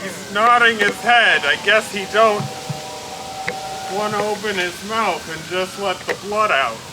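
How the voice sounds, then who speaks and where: A man's voice: sits high, a little slow. An actor playing a sadistic, mocking thug who serves as henchman, speaking with gloating politeness in a radio crime drama. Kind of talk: old-time radio detective drama acting. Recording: noisy, hissy radio play recording.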